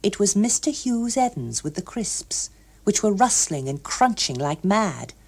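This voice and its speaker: A woman's voice: mid-range voice, sing-song, measured pace, husky. A woman with an English accent reading a story expressively as an audiobook narrator.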